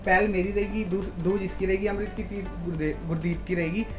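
A man's voice: sits high, level tone, a little fast, music in the background. A young man from India hosting a casual challenge vlog, chatting to viewers in a small room at home. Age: young adult. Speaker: vlog host.

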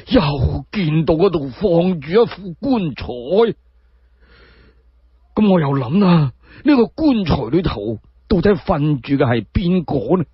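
A man voicing a frail, sorrowful old sage in Cantonese for a radio drama, drawn-out and halting. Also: high-pitched, slightly rough, dry recording, lo-fi sound, heavily acted. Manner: drawn-out, halting, repeating words.

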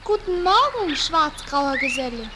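Very high-pitched innocent little girl. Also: sing-song, unhurried, very noisy. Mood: friendly, trusting. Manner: bright-eyed, earnest, naive delivery. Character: sweet, innocent, cheerful little fairy-tale girl. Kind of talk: fairy-tale audio play acting.

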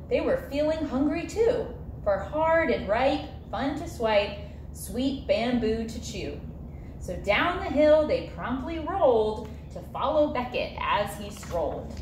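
A woman in her thirties reading a children's picture book aloud in an animated, sing-song storytelling manner. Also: on the high side. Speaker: zoo educator and story reader. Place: zoo viewing area beside glass habitat. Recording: roomy echo, light background noise.

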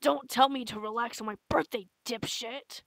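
A woman voicing a brash, foul-mouthed, angry teenage boy in a comic dub, barking his words gruffly. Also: on the high side, steady tone, normal speed, a bit bright, no room sound. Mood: irritated.

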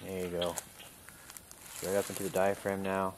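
A man calmly instructing a student step by step during an outdoor survival skills demonstration, recorded on a camera mic. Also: sits low, normal speed, background noise. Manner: calm, instructive, talks through each step. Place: outdoors in a wooded clearing.

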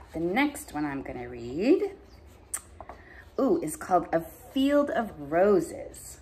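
A woman's voice: medium pitch, mellow. A middle-aged woman, a pastor hosting story time, reading poems aloud expressively to children with casual asides. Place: bright dining room at home.

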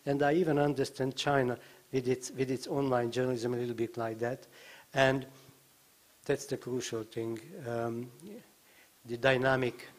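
An older man on a conference panel talks into a handheld mic in a middle-pitched voice. He is thoughtful and wry, circling back on repeated words while he finds his point. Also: flat delivery, moderate pace. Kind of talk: panel discussion remarks. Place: conference stage with banner. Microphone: handheld microphone.

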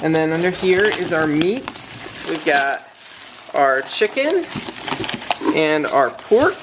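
A man's voice: a little high, lively intonation, slow pace, background noise. A young man co-hosting a casual cooking vlog in his home kitchen, plainly explaining each step. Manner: easygoing, explaining steps plainly. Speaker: vlog co-host. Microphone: handheld camera.

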